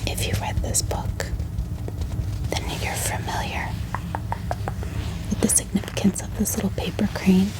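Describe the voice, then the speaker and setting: A woman speaking in a soft, breathy whisper, gently showing items in an ASMR haul and sometimes repeating phrases slowly. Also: medium pitch, level tone, poor audio. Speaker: host of a bookish ASMR channel.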